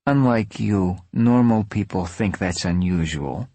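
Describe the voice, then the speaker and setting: A man voicing a playful, flirtatious woman zombie hunter with a breathy Czech accent, in an audiobook. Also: on the low side, measured pace, warm tone, no room sound. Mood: teasing. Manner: playful, teasing.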